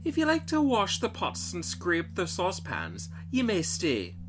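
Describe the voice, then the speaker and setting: A man voicing brisk, gossipy household servants in a storybook, in a folksy, theatrical way. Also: high-pitched, huge pitch swings, background noise, voice pushed up, full character voice.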